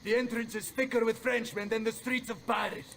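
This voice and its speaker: An actor playing a hot-headed, boastful Italian mercenary captain in a video game, theatrical and thickly Italian in accent. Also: male voice, extremely high pitch, little pitch movement, fairly quick, gravelly, fairly bright tone, a little hiss or hum, full character voice. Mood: despairing. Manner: theatrical, bombastic, peppered with Italian words. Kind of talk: dramatic video game cutscene acting.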